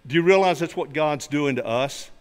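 An older man, a pastor delivering a sermon in an emphatic, deliberate way, speaking from a church pulpit. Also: fairly high pitch, smooth. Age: older, 60s or 70s. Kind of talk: sermon with illustrations and scripture readings. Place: large church sanctuary, at a wooden pulpit. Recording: reverberant hall sound.

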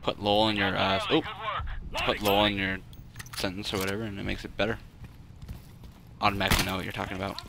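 A young man giving joking, excitable let's play commentary while playing a shooter game. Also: lowish voice, quick pace, gravelly, long pauses, over a noisy crowd. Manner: goofy, joking, excitable outbursts. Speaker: gaming YouTuber.

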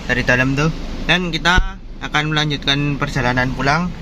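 A man's voice: a little high, moderate pace. A young man narrating a casual road trip vlog as he goes, recorded on a camera mic in a car and at a restaurant. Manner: easygoing, commenting as he goes. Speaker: vlogger. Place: inside a moving car and at a restaurant. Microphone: camera or phone mic. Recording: loud car and road noise behind.

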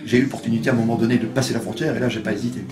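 A man's voice: mid-range voice, flat delivery, rapid-fire. A man, chatting casually as a singer being interviewed, with frequent hesitations. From Belgium. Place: small kitchen backstage at a bar. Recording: music playing in background.

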